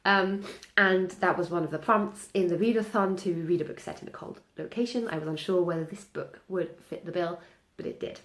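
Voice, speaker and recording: A woman's voice: lowish voice, echoey room. A young woman, a native German speaker, chatting casually through a reading recap with many ums and restarts. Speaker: book vlogger.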